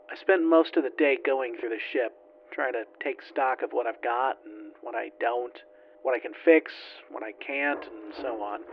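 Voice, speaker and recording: Male actor as lone surviving ship's engineer, weary and shaken, confessional sci-fi log delivery, average male pitch, slightly dull, noisy sound. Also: natural voice. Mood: weary, formal report. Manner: halting, confessional, sweary. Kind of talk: audio drama log recording.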